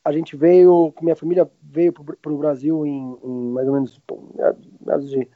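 A man in his late thirties from Argentina with a Brazilian accent, chatting casually and haltingly as an interview guest, often backtracking mid-sentence. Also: fairly high pitch, flat delivery, no room sound. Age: late 30s. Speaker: podcast guest, restaurant owner. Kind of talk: casual chat. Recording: roomless dry, slightly dull lo-fi sound.

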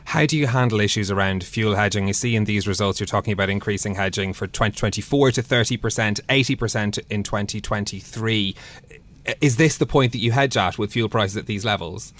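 A man asking direct questions as a business news anchor, brisk and to the point. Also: lowish voice, a bit bright.

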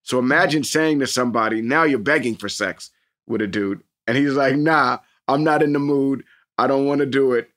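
A man co-hosting a casual podcast, animated and joking, jumping in with questions and asides. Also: mid-range voice, normal speed. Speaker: podcast co-host. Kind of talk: casual chat.

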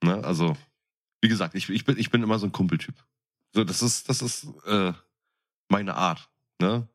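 Deep-voiced man chatting casually. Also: moderate pace. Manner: jokey, colloquial, buddy-like. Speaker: podcast co-host. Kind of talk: casual chat.

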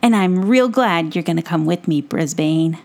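A woman's voice: fairly low pitch, normal speed, darkish tone, pitched below the performer's own voice, natural voice. A woman voicing Tom, a friendly young male gnome who talks in a folksy, eager way and sometimes hesitates.

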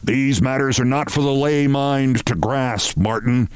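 A man's voice: medium pitch, darkish tone, clear, resonant tone, no room sound, natural voice. A man voicing a pompous older male boss in a story, formal and regretful, hemming and hesitating as he goes. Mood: hesitant, regretful. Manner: halting, formal, hemming with ums and uhs. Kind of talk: storybook character voice.

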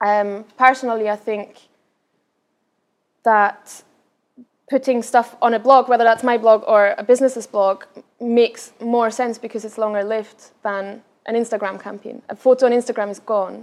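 A young woman from Austria, whose first language is Austrian German, with an Austrian accent with Scottish touches. She answers audience questions at a live event in a fast, chatty way with many fillers and restarts. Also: on the high side, darkish tone. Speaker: guest speaker.

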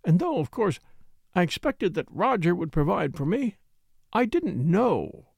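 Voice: mid-range voice, sing-song, a little slow, vocal fry, a little mellow, slightly rough, dry recording, studio quality, exaggerated voice. A reader voicing a fussy, tearful lady who talks in a fluttery, rambling, self-pitying way. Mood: wheedling. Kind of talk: audiobook character reading.

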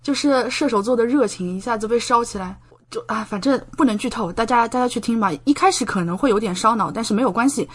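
A young woman from China chatting casually as a podcast guest, expressive and enthusiastic in the way she talks. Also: on the high side, fast, breathy edge. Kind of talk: casual chat.

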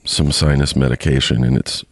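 Man, extremely low voice, sniffly, halting podcast chatter, dry close sound. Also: fairly even intonation, no echo. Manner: rambling, halting, many fillers and restarts. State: sniffly from sinus congestion. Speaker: podcast host. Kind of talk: casual solo chat to listeners.

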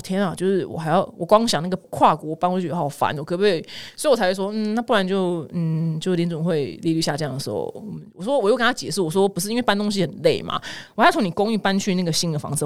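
Animated, fast-talking Taiwanese Mandarin woman. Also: mid-range voice. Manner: candid, self-mocking, expressive. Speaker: podcast host of a humorous news show. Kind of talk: casual chatty storytelling to listeners.

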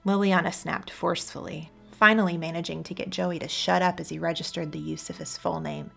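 Low-pitched, creaky-voiced woman narrating fiction. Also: steady tone, fairly quick, soft background music. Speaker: audiobook reader. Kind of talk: scripted story narration.

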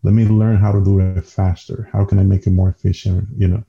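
A man with a Dominican Spanish accent talks casually as an interview guest. His voice is low and creaky with little swing in pitch, and he often restarts his phrases. The recording is a bit dull and lo-fi. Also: flat delivery, a little fast, mellow. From Dominican Republic. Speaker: podcast guest, engineer.

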